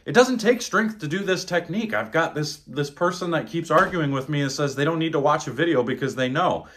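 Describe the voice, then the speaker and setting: A man's voice: on the high side, animated, brisk, a bit bright, a little hiss or hum. Blunt man coaching in an echoey room. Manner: blunt, emphatic, exasperated at bad advice. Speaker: bowling coach. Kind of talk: instructional vlog demonstration. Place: living room at home, indoors. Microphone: camera on tripod, close then several meters away.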